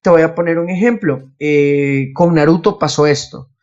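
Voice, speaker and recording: A man's voice: a little high, normal speed, a little mellow. A man with a Latin American Spanish accent explaining things in a casual podcast, posing questions and answering them himself, on a lo-fi recording. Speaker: podcast host. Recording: dull, lo-fi voice connection.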